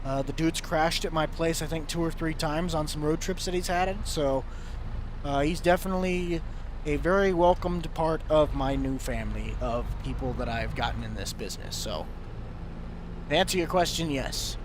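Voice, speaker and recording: A man's voice: fairly high pitch, normal speed. A man chatting casually on a live stream while playing a game at home, answering viewer questions in an offhand way. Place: home room. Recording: loud steady background hum.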